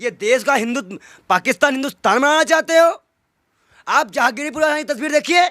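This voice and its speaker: A man's voice: extremely high pitch, fairly quick, sharp tone, no echo, clean studio sound. A man giving an impassioned political interview, arguing indignantly with rhetorical questions and emphatic repetition.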